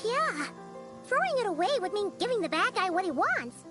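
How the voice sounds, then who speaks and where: A woman voicing a tiny, childlike fairy companion in a video game, perky and curious, with chirpy, informal, squeaky speech. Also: very animated, normal speed. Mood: worried. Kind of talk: scripted video game character dialogue. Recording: game audio with background music.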